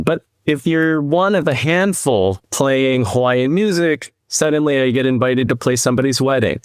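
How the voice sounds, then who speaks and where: A man in his fifties from the US East Coast, a podcast guest and financial advisor, telling his story in a measured, reflective way. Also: medium pitch, dry recording, studio quality. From New Jersey, US East Coast. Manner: measured, reflective, speaks in long explanatory runs. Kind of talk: conversational interview storytelling.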